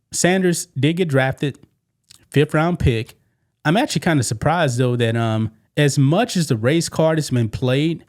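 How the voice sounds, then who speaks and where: A man hosting a sports commentary show, giving casual opinions in a conversational way with rhetorical questions. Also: mid-range voice. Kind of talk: casual opinion commentary on sports news, reading quoted statements.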